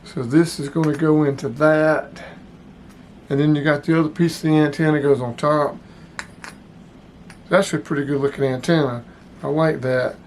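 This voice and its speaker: A man's voice: a little high, fairly even intonation, moderate pace, pauses often, darkish tone, a little hiss or hum. A man giving a casual, plain-spoken product review as the host, talking informally to his viewers. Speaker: channel host reviewing radio gear.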